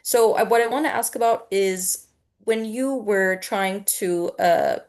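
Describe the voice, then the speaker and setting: A woman's voice: mid-range voice, measured pace, darkish tone. A young adult woman from Egypt moderating an online panel discussion, in a conversational, lightly hesitant manner.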